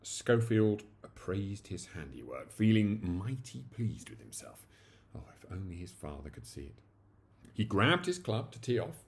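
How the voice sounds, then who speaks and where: Deep, animated male storyteller. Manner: theatrical, animated. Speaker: story reader. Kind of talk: expressive comic short story narration. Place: small indoor room at home.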